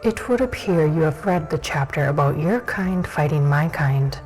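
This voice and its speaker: A woman playing a cold, hostile, commanding captor in a dark fantasy audio drama, deliberate and disdainful. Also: deep voice, animated, over music, rough recording.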